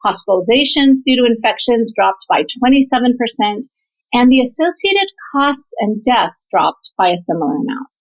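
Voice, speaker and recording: A woman's voice: on the high side, sing-song, normal speed. A woman physician researcher explaining her work as an interview guest, in an orderly, structured way. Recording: dull, band-limited audio.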